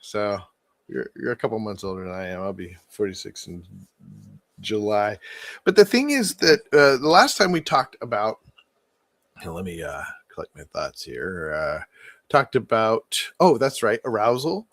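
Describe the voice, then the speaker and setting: A man's voice: on the low side, very animated, moderate pace, fairly bright tone, dry recording, studio quality. A man in his forties co-hosting a casual conversation, rambling and thinking aloud with frequent restarts. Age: 40s. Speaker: podcast co-host.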